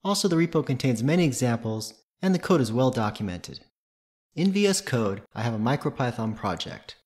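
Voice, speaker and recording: A man's voice: mid-range voice, big pitch swings, brisk, darkish tone. A man presenting a tutorial, reading scripted technical narration in a methodical, matter-of-fact way.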